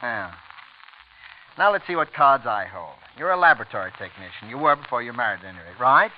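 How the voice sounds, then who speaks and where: An actor plays a young, unscrupulous prosecutor in a vintage radio crime play. He talks bluntly, with smug, needling confidence. The old recording is muffled and clearly noisy. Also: medium pitch, expressive, fairly quick, natural voice. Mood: accusing, confident. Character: clever, brash, unscrupulous young male assistant district attorney. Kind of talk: 1940s radio drama acting.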